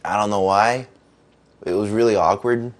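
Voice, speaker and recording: Man playing a deep-voiced, awkward roadie. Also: steady tone, normal speed, close to an everyday voice. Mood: sheepish, awkward. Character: awkward, reluctant band roadie. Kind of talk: casual mockumentary interview.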